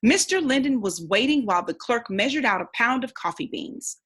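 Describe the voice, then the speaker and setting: A woman reading a storybook aloud like a teacher, pausing to think aloud and explain. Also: a little high, lively intonation, a bit bright, breathy edge.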